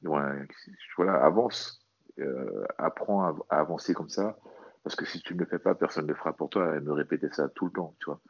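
Very deep-voiced man, halting and earnest. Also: expressive, creaky, slightly rough, highs cut off. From French Guiana. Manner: halting, repeats words, earnest. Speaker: interview guest, athlete and bodybuilder. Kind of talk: casual personal testimony in conversation.

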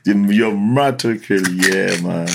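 A man who grew up in France, telling his life story in a relaxed, slangy, candid way as an interview guest. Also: on the low side, creaky, noisy background.

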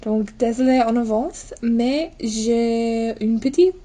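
A woman with an American accent and a mellow tone speaks very slowly and carefully as a native English speaker. She sounds like a language learner leaving an informal message, sometimes slipping into English. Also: on the high side. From United States. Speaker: podcast listener sending a voice message. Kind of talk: prepared, informal audio message.

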